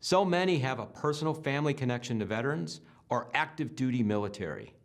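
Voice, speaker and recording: Lively-voiced male host. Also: mid-range voice, quick pace. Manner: brief, affirming replies. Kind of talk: interview host and narration.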